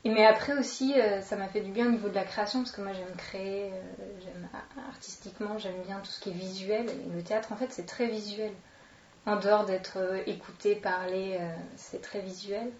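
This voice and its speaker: A woman's voice: medium pitch, steady tone, moderate pace, darkish tone. Interviewee: woman, 27, French accent, informal and hesitant delivery, dull roomy recording with faint noise. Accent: French, from France. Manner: informal, hesitant, thinking aloud. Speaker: interviewee, actress and children's entertainer. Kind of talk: casual spoken self-introduction. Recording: roomy, dull, distant microphone, noisy.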